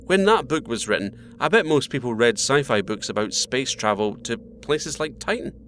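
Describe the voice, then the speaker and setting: A man playing a calm, dry-witted starship captain. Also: mid-range voice, moderate pace, close to an everyday voice. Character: steady male spaceship captain, dry humor. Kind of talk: audio drama acting. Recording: noisy background ambience.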